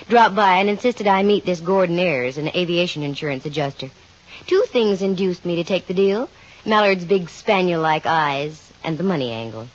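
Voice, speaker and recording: An actress playing a witty, flirtatious female private detective in a 1950s radio drama, with a dry, wisecracking delivery. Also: lowish voice. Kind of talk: 1950s radio drama acting and first-person narration. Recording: muffled, noisy 1950s radio recording.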